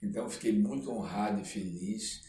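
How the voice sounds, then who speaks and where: An older man with a Brazilian accent, telling anecdotes as an interview guest in a halting, reflective, casual way. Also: fairly low pitch, warm tone, a little hiss or hum. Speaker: interview guest, actor and screenwriter. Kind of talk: casual podcast storytelling. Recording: roomy echo, distant microphone.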